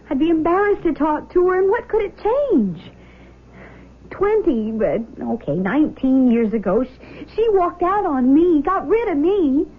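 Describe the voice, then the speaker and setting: An actress playing a shaken but loving nineteen-year-old daughter in a 1970s radio drama, halting and exclaiming. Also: female voice, very high-pitched, exaggerated voice. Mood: resentful, reluctant. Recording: dull lo-fi old radio broadcast.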